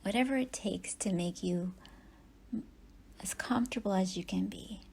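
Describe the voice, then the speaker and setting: A woman meditation teacher leading a guided yoga nidra practice in soft, breathy, unhurried phrases with long pauses. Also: mid-range voice, a little hiss or hum.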